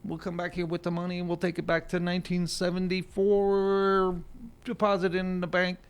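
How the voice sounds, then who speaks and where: A man's voice: high-pitched, monotone, brisk, clear, resonant tone, natural voice. A man playing a shifty older celebrity lawyer in an improvised radio comedy, stammering and repeating words as he evasively pushes a scheme. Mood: sly. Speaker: studio guest.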